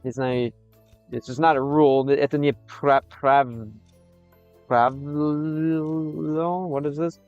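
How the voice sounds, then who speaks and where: A young American man chatting with his stream viewers, switching between English and halting Russian, pausing often as he reads the chat. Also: a little high, fairly even intonation, darkish tone, smooth, no room sound, highs cut off, soft background music. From United States.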